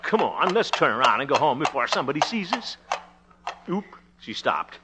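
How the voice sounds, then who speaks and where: An actor playing an excitable, good-hearted small-town man in a theatrical 1950s radio comedy. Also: mid-range voice, moderate pace, natural voice. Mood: pleading, flustered. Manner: theatrical, exclaiming, teasing. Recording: noisy 1950s radio recording with clicks.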